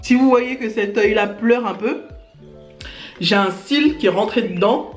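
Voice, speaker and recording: A woman vlogger from Gabon addresses her critics head-on in an indignant, talky rant, peppering it with small filler words. Her voice sits at a middling pitch and rings around a bare, echoing room, with faint music under it. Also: unhurried. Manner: indignant, addresses critics directly, many fillers like "hein" and "genre". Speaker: YouTuber. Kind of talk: ranting to camera.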